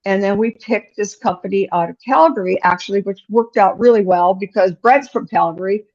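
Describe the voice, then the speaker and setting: A woman's voice: mid-range voice, normal speed, darkish tone, lo-fi sound. Frank, chatty woman in her sixties. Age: 60s. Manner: frank and direct, chatty, acts out quoted dialogue. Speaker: business owner guest. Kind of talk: conversational storytelling in an interview.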